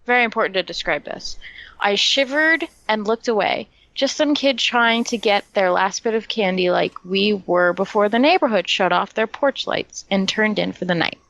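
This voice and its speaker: A woman with a medium-pitched voice co-hosts a casual podcast. She reads aloud and chats, slipping in wry, joking asides. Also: moderate pace, a bit bright, lo-fi sound. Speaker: podcast co-host. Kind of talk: casual chat with story read-alouds.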